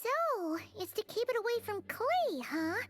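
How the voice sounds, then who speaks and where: A tiny, excitable fairy companion from a fantasy game, with a very high, squeaky voice that bounces all over the place. She is chirpy and nosy, and she talks about herself in the third person. Soft game music plays underneath. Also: a little slow, full character voice. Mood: curious. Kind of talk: game voice acting.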